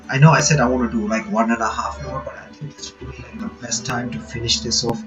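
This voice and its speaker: A man's voice: mid-range voice, steady tone, quick pace. A sleepy man hosting a reaction video, rambling casually and reading game text aloud. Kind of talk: casual commentary while reading a visual novel aloud. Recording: dull lo-fi recording with game music.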